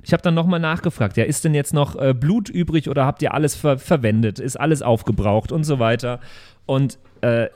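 A man's voice: medium pitch, darkish tone. A man chatting casually and reflectively as he recaps a role-play game with friends, in a rambling way. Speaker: podcast player recapping his tabletop role-play character.

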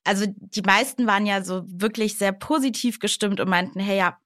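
A woman in her late thirties, co-hosting a podcast in relaxed conversation, with many fillers and easy laughter. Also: medium pitch, a little fast, dry recording, clean studio sound. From Germany. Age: 30s. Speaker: podcast co-host. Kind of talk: casual chat.

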